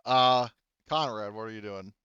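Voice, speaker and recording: A man's voice: on the low side, moderate pace, sharp tone, clear, resonant tone, no room sound, highs cut off. A man acting as game master, casually guiding players through a tabletop roleplaying game.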